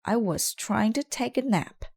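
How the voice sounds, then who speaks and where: A tiny, grumpy storybook mouse acted out by a woman. The voice is pitched up and peevish, complaining like someone woken from a nap. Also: unhurried, creaky, darkish tone. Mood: irritated. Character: small grumpy sleepy mouse.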